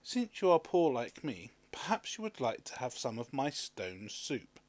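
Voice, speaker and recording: Storybook wanderer, voiced by a man: tired, hungry, courteous, coaxing, with animated intonation. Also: medium pitch, a little slow, no echo. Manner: polite, coaxing. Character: tired, hungry male traveller, polite and cunningly persuasive.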